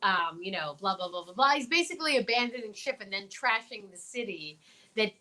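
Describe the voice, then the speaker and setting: A woman's voice: medium pitch, lively intonation, brisk, bright, forceful tone, rough recording. A woman talk show host chatting casually, emphatic and opinionated, often posing questions. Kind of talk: casual chat.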